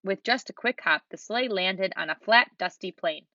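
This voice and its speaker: A woman's voice: mid-range voice, normal speed, dry recording. Woman reading a children's book aloud. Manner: gentle, even storytelling. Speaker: storybook reader. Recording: dull lo-fi dry recording.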